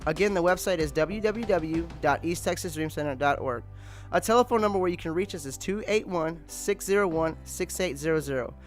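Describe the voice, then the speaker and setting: A man's voice: a little high, fairly quick. A man reading a scripted radio announcement with contact details. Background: music bed.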